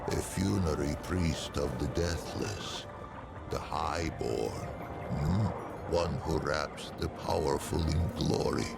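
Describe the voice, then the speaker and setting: A man voicing an ancient, weary, non-human priest of the dead in a video game, with a gravelly voice and a slow, formal, archaic, musing manner. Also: heavily acted. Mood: rueful reminiscence. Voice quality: deep gravelly creaking voice. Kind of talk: theatrical video game character dialogue. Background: music.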